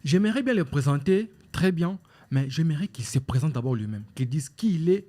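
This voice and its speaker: A man's voice: on the high side, animated, normal speed, dark tone. A man with a Congolese French accent hosting a talk show, formal and repetitive, with many fillers and restarts. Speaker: show host.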